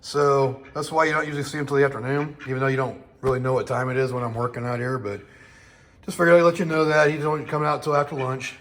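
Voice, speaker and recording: A hoarse man who is losing his voice after being sick, talking casually through a repair job in his home garage, rambling with lots of fillers. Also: medium pitch, steady tone, fast, fairly bright tone. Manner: rambling, easygoing, many fillers. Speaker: host of a home auto repair channel. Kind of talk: casual talk-through of a shop project.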